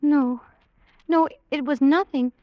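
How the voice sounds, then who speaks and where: A woman's voice: high-pitched, steady tone, slow, no room sound. An actress playing a fragile young woman with controlled fright, hesitant and tightly restrained, in an old radio drama. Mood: nervous, pleading. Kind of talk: 1940s-50s radio drama acting. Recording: dry, dull vintage radio recording.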